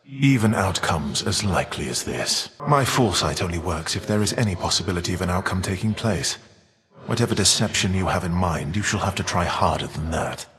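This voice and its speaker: A proud bitter titan for a video game, kinda deep, grave old-fashioned wording, big echoey reverb. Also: male voice, fairly bright tone. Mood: confident, taunting. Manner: formal, measured, grave archaic diction. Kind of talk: scripted video game character voice lines.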